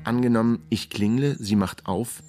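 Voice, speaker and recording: A man's voice: mid-range voice, normal speed, mellow, natural voice. An actor playing a weary, chaotic investigator who narrates his own radio crime story with dry, wry understatement. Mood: wry, wistful. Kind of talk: radio crime drama first-person narration. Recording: radio production with prominent music bed. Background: music.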